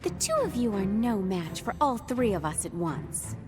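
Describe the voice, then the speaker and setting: A woman's voice: mid-range voice, big pitch swings, normal speed, natural voice. An actress playing a playful, teasing female summoned spirit with a lilting voice. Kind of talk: video game voice acting. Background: game music.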